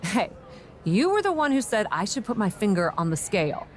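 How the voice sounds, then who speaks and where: Lively actress as a cheeky young-sounding woman manager. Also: medium pitch, brisk, lightly acted. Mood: sly, confident. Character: cheeky rule-bending female wrestling manager. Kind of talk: video game cutscene dialogue.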